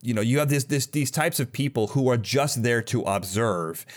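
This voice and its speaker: A man's voice: mid-range voice, moderate pace. A man hosting a podcast, talking in a casual, enthusiastic and chatty interview style. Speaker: podcast host interviewing a guest.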